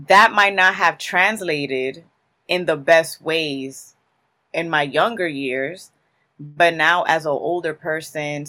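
A guest in their thirties from Newark speaks, answering in long, emphatic stories full of casual street phrasing. The voice sits at a middle pitch, neither high nor low. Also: fairly bright tone. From Newark, New Jersey. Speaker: guest, creative in publishing.

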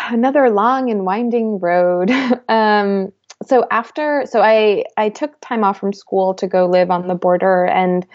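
A young woman in her twenties, a guest in an interview, talking thoughtfully with many pauses, fillers and restarts. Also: medium pitch, a little mellow, lo-fi sound. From United States. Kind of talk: reflective conversational interview.

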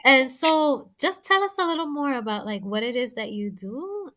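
A woman's voice: sits high, expressive, normal speed, sharp tone. Upbeat, encouraging podcast host woman. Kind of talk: casual faith-based podcast chat.

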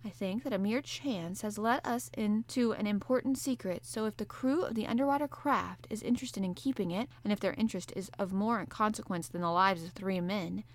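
A polite, unflappable manservant , as a woman reads him, answering in an even, measured way. He is never rattled and always courteous. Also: medium pitch, natural voice. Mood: calm. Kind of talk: audiobook character voice.

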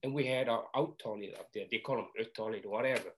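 An older man from Hawaii speaks. He recalls his early life in plain, halting phrases, repeating words as he goes. His voice sits at an ordinary middle pitch. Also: a bit bright. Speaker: interview guest. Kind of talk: storytelling reminiscence.

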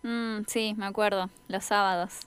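A woman's voice: medium pitch, steady tone, unhurried, a bit bright, clean tone, no echo. A young Argentine woman co-hosting a casual radio interview, rambling and correcting herself as she goes. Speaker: radio co-host.

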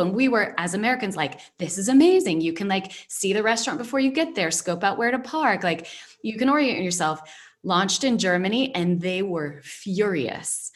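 A woman hosting a casual interview, reflective and storytelling, with frequent pauses. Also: medium pitch. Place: based in Spain.

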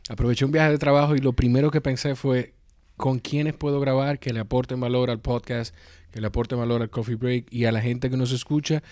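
A man's voice: mid-range voice, fairly even intonation, brisk, darkish tone, no room sound, clean studio sound. A man with a Dominican Spanish accent and carefully pronounced S sounds, hosting a conversational podcast interview in an informal, talkative way. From Dominican Republic. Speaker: podcast host.

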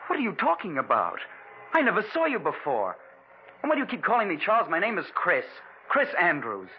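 A man's voice: sits high, huge pitch swings, brisk, exaggerated voice. An actor playing an agitated, suspicious man with amnesia in a 1940s radio thriller. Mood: confused, indignant. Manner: agitated, questioning, disbelieving. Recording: muffled, noisy old radio recording.

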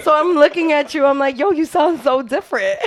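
A young woman from New York with a New York accent, co-hosting a casual chat in a loud, animated, laughing way. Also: sits high, a little fast, crowd noise. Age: young adult.

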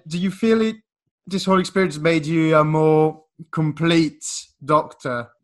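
A man's voice: on the high side, steady tone, unhurried. A man interviewing a guest, asking long, friendly, conversational questions.

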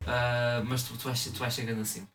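A young Portuguese man rattles off stories at a fast clip in a bright, forceful voice. He keeps things loose and joking. He sits a little back from the mic, with a faint hum behind him. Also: mid-range voice, little pitch movement, far from the mic. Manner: fast, joking, acts out quotes in mock voices. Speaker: podcast co-host. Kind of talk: casual banter between friends.

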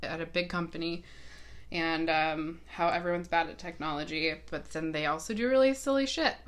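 A woman's voice: sits low, fairly quick. A young woman co-hosting a podcast, chatting casually with friends and laughing easily between rambling asides. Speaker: podcast co-host. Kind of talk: casual chat.

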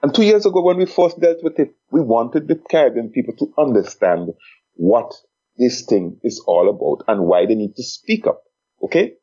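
Male talk host, emphatic and lively in pitch, warm tone, lo-fi recording. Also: moderate pace, husky. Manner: emphatic, rising pitch on key points. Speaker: program host. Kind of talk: casual discussion. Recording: dull lo-fi sound.

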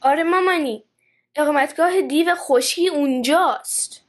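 Actress as little girl, very high-pitched, childlike, earnest, determined, children's radio play. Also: lightly acted.

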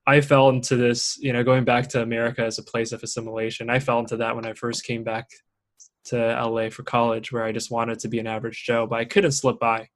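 A man's voice: medium pitch, little pitch movement, quick pace. Man, podcast host, casual chat, earnest, encouraging. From Singapore and Shanghai.

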